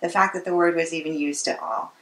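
A woman in her twenties with an American accent hosts a casual interview. Her voice sits a little low for a woman, and the living room gives it a clear echo. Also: expressive, fast talker, fairly bright tone. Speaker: interviewer, host. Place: living room with books, indoors. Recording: roomy, echoey living room.

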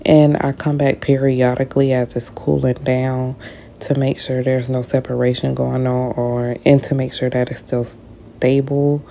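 A woman's voice: rumbling low, flat delivery, unhurried, vocal fry, warm tone, slight background noise. A woman, narrating a skincare tutorial in a casual, explanatory voice-over, sounding stuffy as she gets over an illness. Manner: casual, explanatory, addresses viewers directly.

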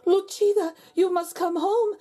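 A woman's voice: fairly even intonation, mellow. A woman voicing an urgent, worried village señora in a storybook, speaking anxiously. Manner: high, hurried, urgent. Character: urgent village señora bearing worrying news.

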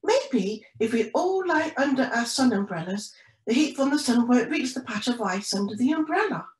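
Woman voicing an excited little girl penguin, high-pitched. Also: moderate pace, roomy sound, close to an everyday voice, low-quality recording. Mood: eager, earnest. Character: excited young girl penguin, eager and helpful. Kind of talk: children's storybook reading.

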